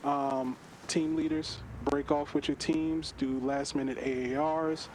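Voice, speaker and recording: A man's voice: fairly high pitch, level tone, unhurried, a little mellow, a little hiss or hum, low-quality recording. A man leading an online gaming group, giving an informal briefing in a firm, instructing manner over voice chat.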